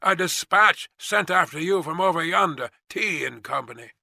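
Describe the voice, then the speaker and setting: A man voicing a hoarse, plain-spoken messenger in a storybook reading, calling out slowly and insistently. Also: fairly high pitch, animated, sharp tone, no echo, clean studio sound, voice pushed up, exaggerated voice. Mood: reporting.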